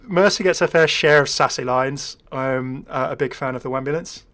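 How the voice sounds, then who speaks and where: Male gaming creator, casual commentary, chatty, enthusiastic, medium pitch. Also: moderate pace, a bit bright. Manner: chatty, enthusiastic, readily amused. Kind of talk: casual game update commentary.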